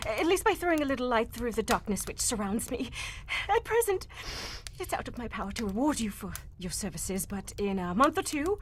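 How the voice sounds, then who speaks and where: A woman playing a nervous, desperate young lady in an old-time radio play, halting and formal in her speech. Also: fairly high pitch, sing-song, a little hiss or hum. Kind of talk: cold-read live old-time radio drama acting.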